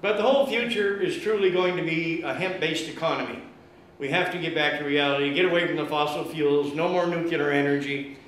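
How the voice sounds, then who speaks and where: A man speaks loudly and fervently to a meeting, rallying the listeners and peppering his talk with fillers. His voice sits high. The room echoes heavily around him, with a faint hiss underneath. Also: steady tone, a little fast. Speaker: guest speaker at an activist meeting. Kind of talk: impassioned public speech.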